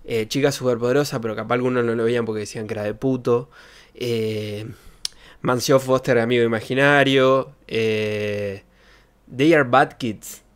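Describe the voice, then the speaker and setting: A man's voice: medium pitch, steady tone, moderate pace, clear, resonant tone. A young man with an Argentine accent, a streamer rambling in casual, jokey slang close to a desk microphone. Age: young adult. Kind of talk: casual livestream chat. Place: small bedroom streaming setup. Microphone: desk microphone with pop filter, close.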